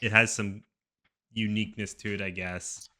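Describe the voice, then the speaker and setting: Bright-toned low man hosting a podcast. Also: level tone, normal speed. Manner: steers the segments, easygoing, handing out grades. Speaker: podcast host. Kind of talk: casual sports chat.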